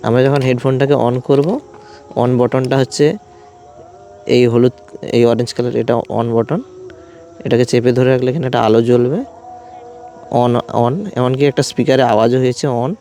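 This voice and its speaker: Mellow-voiced man explaining step by step. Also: mid-range voice, level tone, normal speed, pauses often, soft background music. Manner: step-by-step explaining in short phrases. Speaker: vlogger reviewing gear. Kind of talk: hands-on product demonstration.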